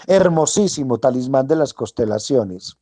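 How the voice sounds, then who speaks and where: A man hosting a radio show, rattling off a sales pitch for tarot readings and cleansings, repeating addresses and prices and blessing his listeners. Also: lively intonation, moderate pace, mellow, dry recording. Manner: insistent, repetitive, blessing the listeners. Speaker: radio host selling esoteric services.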